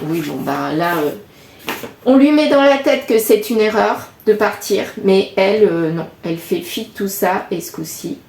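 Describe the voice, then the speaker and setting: A woman's voice: medium pitch, a little mellow, slight background noise. A woman, giving a chatty card reading with lots of rambling self-corrections. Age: 50s. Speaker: card reader. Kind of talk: casual tarot reading monologue. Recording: echoey room.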